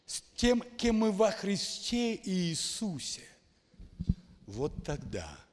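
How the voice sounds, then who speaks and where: Preaching man echoing through a hall. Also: high voice. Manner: emphatic, dramatic swings from quiet to shouting. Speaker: pastor. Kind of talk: charismatic sermon. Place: church hall. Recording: long hall reverb.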